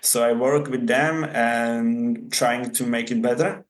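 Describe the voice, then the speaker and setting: Czech man, halting English, mid-pitched voice, echoey room. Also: steady tone. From Czech Republic. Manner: halting, searching for words, chuckles. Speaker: guest athlete and coach. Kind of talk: casual interview answers.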